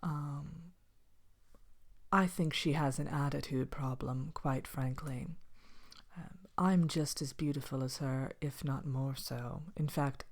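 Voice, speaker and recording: A vain evil queen from a fairy tale, played by a woman, murmurs in a low, soft whisper. She speaks slowly and haughtily, with dry condescension and pauses, in an ASMR talk-show style. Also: fairly even intonation, full character voice. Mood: vain, bitter.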